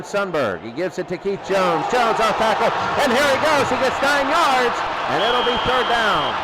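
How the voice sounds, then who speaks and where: A man giving informal, excitable play-by-play football commentary in short, repeated phrases. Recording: noisy, steady background rumble.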